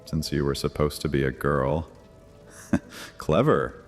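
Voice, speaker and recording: Male vampire high priest, extremely low voice in a long reverberant hall, taunting and theatrical, for dark fantasy audio drama. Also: slow pace, soft background music, heavily acted. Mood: mocking amusement.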